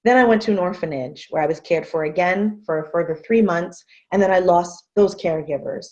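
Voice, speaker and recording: A woman in her thirties from Canada giving an earnest, measured webinar talk as a guest speaker over a video call. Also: on the low side, a little mellow. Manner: earnest, measured, repeats phrases for emphasis. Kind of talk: webinar presentation. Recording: muffled video call audio.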